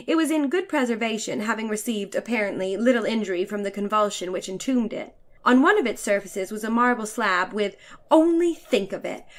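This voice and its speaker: A woman's voice: a little high, bright, forceful tone. A woman playing a chatty, self-assured lady letter-writer from the future, in a theatrical, emphatic dramatic reading. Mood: delighted. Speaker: reader performing a short story.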